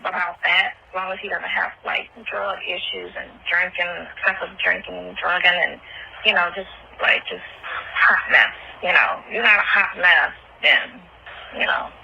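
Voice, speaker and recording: Noisy phone call, sharp gravelly candid woman. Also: on the low side, steady tone, a little slow. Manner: candid, blunt, slangy. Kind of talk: casual intimate phone chat.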